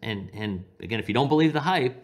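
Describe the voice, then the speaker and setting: A man's voice: medium pitch, animated, fast talker. A man in his forties from Iran, a physician talking conversationally as an interview guest, often restarting and correcting himself as he tells personal stories. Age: 40s. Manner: hesitant, self-correcting, repeats opening words.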